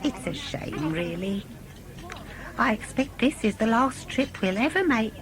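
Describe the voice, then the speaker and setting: An actress playing a chatty, fussy elderly wife on a train trip in an audio drama. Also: female voice, a little high, expressive, a little slow, low-quality recording. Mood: fond, wistful. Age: older.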